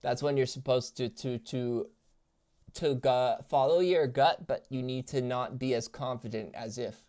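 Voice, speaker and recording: A man's voice: medium pitch, fairly even intonation, warm tone. A man in the middle of his range talks through a video he is reacting to, answering his chat as he goes. He often stumbles and restarts his sentences. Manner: halting, many restarts and fillers, talking to chat. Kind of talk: casual reaction commentary.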